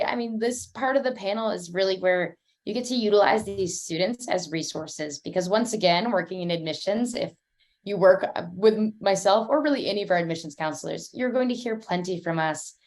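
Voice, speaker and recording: A woman's voice: mid-range voice, a little fast, a little mellow. A young woman working in admissions, hosting an online panel in a chatty, upbeat way with many fillers, heard over a video call. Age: young adult.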